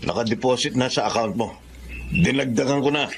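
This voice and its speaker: A man's voice: medium pitch, brisk, a little hiss or hum, close to an everyday voice. A man acting in a Filipino radio drama as a commanding, corrupt police officer who secretly runs a syndicate. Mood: cold, pleased. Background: prominent music.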